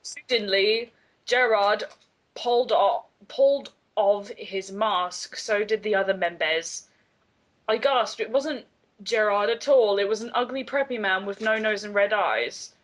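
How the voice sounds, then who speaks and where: A woman's voice: mid-range voice, unhurried. A woman giving a dramatic reading of fan fiction and interrupting it with her own comments. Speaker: player in a dramatic reading game.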